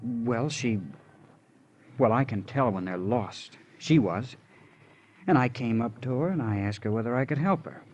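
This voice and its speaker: An actor playing a gentle, devoted young drifter in old radio drama, talking simply, earnestly and hesitantly. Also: male voice, medium pitch, lively intonation, measured pace, lo-fi sound, natural voice. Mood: earnest, halting. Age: young adult. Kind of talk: 1940s-50s radio drama acting. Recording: noisy vintage radio recording.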